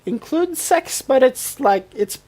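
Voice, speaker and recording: High voiced woman doing a casual tv recap podcast, kinda loose and hesitant phrasing. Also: expressive, slow, dark tone. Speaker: podcast co-host.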